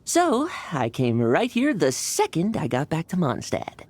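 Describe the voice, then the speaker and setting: A woman voicing an energetic, cocky young male knight in a video game. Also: sits low, unhurried, no echo, exaggerated voice. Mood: friendly. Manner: energetic, cocky, laughs easily.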